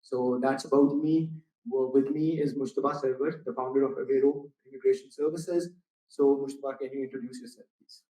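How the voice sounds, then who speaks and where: A man opening a seminar with polite, measured remarks and introducing his colleague. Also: medium pitch, fairly even intonation, warm tone. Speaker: seminar host. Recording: roomy sound, mic far off.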